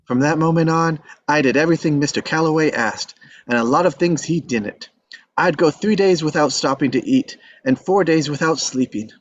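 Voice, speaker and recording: A man's voice: on the high side, moderate pace, lo-fi sound, lightly acted. A man reading the part of an earnest, determined young man in love, pleading his case. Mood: reflective. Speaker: table read actor. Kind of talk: live table read acting.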